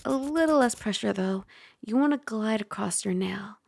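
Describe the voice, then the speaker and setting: A woman playing a caring, teasing dragon girl roommate in an ASMR roleplay, soft, chatty and gently instructive. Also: medium pitch, animated, moderate pace, gravelly, no room sound. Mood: patient coaching.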